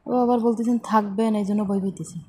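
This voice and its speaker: A woman's voice: medium pitch, steady tone, quick pace, a little mellow, slightly rough. A woman with a Bangladeshi accent acting as a hesitant office employee in a phone-call drama, deferential yet bluntly reluctant.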